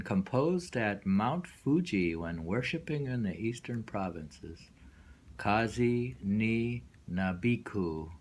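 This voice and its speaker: Slow, low-pitched man reading poetry aloud. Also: darkish tone. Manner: unhurried, pausing, musing. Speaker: poetry reader. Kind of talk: reading poems aloud with informal commentary.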